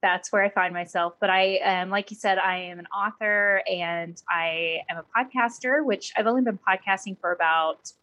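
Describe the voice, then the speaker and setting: A woman's voice: medium pitch, normal speed, few pauses, pushed and bright. A woman in her thirties from Oklahoma, a podcast guest who speaks in a candid, thoughtful way. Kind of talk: conversational interview.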